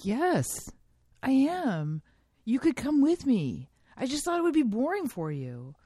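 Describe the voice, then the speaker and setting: An actress playing an evasive wife who placates a suspicious spouse in a scripted drama. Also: female voice, high-pitched, huge pitch swings, measured pace, mellow, slightly rough, no echo, studio quality, exaggerated voice. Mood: defensive, placating.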